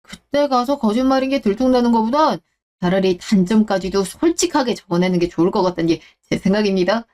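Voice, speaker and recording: A woman's voice: mid-range voice, expressive, fast, darkish tone, close to an everyday voice. A woman voicing a scheming middle-aged man in a radio drama, muttering to himself and acting falsely friendly. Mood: fake friendly. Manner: smug, muttering to himself, then fake-friendly.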